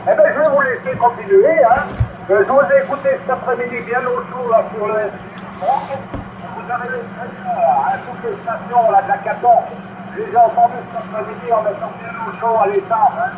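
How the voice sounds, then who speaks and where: A man chatting on CB radio in a friendly, measured way, ending his phrases with "hein" and "voilà". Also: very high voice, big pitch swings. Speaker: CB radio operator. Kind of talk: casual radio chat. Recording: roomy, muffled radio transmission with loud noise.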